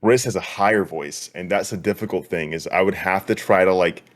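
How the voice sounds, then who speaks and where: A man's voice: sits low, brisk. A young man talking casually as a guest, easygoing and chatty. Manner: easygoing, chatty with light laughs. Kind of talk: casual chat.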